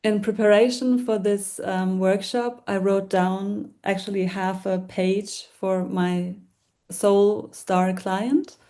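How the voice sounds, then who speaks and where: A woman's voice: medium pitch, little pitch movement, slow pace, warm tone. A woman from Germany speaking English with a German accent as a guest on a video call, hesitant and restarting often. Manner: hesitant, with many restarts and fillers. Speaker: workshop guest, decluttering coach.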